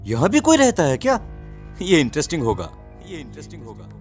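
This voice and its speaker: A man playing a headstrong seventeen-year-old son in an animated horror story, exasperated and insistent. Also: fairly high pitch, a little fast, faint music behind. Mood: curious.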